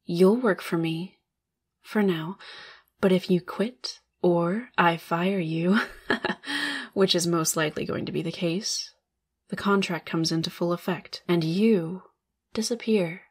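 Imperious monster-woman executive played by a female actor, ASMR roleplay: unhurried, lowish voice, icy sarcasm, smug condescension. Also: breathy edge. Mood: cold, scheming. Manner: sardonic, condescending, drawling with smug chuckles. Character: cold, calculating, imperious spider-woman law firm boss and sister.

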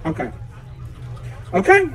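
A man's voice: slow, pushed and bright. A man voicing a hesitant, trusting town official in a tabletop game. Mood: puzzled.

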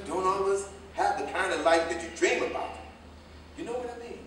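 A man's voice: high voice, moderate pace, a bit bright. A man in a staged play reading playing an older American tailor turned driver, a proud, hard-drinking, folksy storyteller who rambles. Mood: rueful. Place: indoor theater stage, recorded from afar. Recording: echoey stage, distant mic, faint noise.

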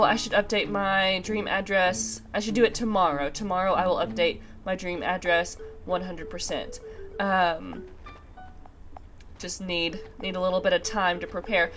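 A woman hosting a casual let's play, chatting to herself in a slightly nasal, congested voice with a sinus infection. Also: mid-range voice. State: congested with a sinus infection, recovering from a cough and sore throat. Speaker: gaming video host. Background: video game music.

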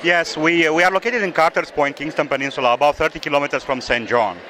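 A man in his forties from Romania with a Romanian accent, talking in a casual interview at a festival booth, halting with many fillers and repeated words. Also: on the high side, bright, forceful tone. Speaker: sturgeon farm owner. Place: indoor festival hall. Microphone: handheld mic held by interviewer. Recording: noisy festival hall.

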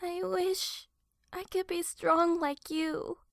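A meek, anxious young female secretary in an audio drama. Her voice is extremely high, and she speaks slowly and haltingly, apologetic and stammering. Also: little pitch movement, vocal fry, crowd noise, full character voice. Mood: tearful.

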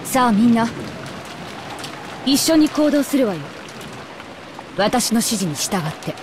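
A woman's voice: on the high side, lively intonation, takes it slowly. A woman playing a stern, coldly dignified mother in an anime visual novel, speaking in a formal, authoritative way. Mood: commanding. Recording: clear rain noise behind.

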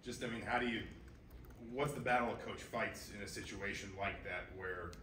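Low-voiced male reporter. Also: normal speed. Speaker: sports reporter. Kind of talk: press conference question. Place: indoor press conference room. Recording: distant, echoey room with clear noise.

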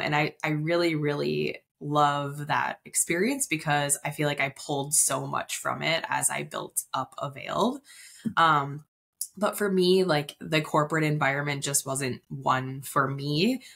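Low-voiced woman. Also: fairly even intonation, measured pace, creaky, fairly bright tone. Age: 30s. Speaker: interview guest, wedding planner. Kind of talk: casual podcast interview. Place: indoor room on video call.

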